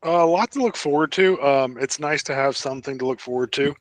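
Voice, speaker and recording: A man's voice: medium pitch, brisk, dry recording. A man co-hosting a casual fan podcast, talking informally and giving his opinions freely. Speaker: podcast co-host.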